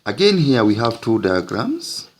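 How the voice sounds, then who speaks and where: A man teaching physics, narrating an explanatory lesson in deliberate, halting phrases with frequent breaks. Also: mid-range voice, wildly swooping pitch.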